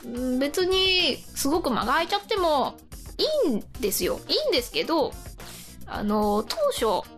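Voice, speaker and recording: A woman's voice: sits high, big pitch swings, normal speed, fairly bright tone, soft background music. A woman hosting a casual radio chat, often laughing as she talks. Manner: giggly, often laughing while talking. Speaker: radio show host.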